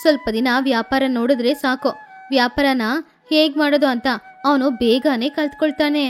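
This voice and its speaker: A protective middle-aged mother in a cartoon, played by a woman, with a high voice that switches between coaxing and chiding. She talks in a warm, homely way. Quiet music runs under her lines. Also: fairly even intonation, moderate pace, natural voice. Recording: faint background music.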